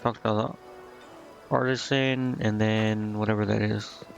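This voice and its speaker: A man's voice: lowish voice, level tone, measured pace, creaky. A man giving casual live gameplay commentary, muttering and thinking aloud with hesitation. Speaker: game streamer. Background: game music.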